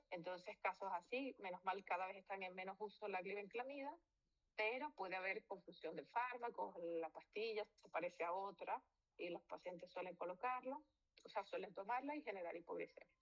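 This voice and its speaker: Gravelly-voiced woman doctor lecturing. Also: medium pitch, a bit bright, highs cut off. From Venezuela. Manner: informal, with fillers and self-corrections. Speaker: physician lecturer. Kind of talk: medical lecture.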